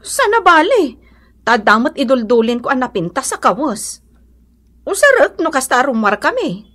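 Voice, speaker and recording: An envious, hard-up Ilocano housewife in a radio comedy drama. Her voice is high and swings up and down freely. She speaks in an expressive, plaintive way. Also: moderate pace, heavily acted. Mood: defensive. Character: poor, envious Filipino wife and mother, sighing.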